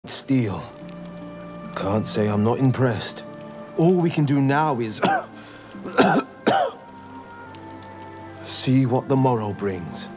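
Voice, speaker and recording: A man playing a weary, ailing but upbeat folksy companion in a video game, talking haltingly. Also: mid-range voice, expressive. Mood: weary hope. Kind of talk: video game dramatic acting. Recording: music behind.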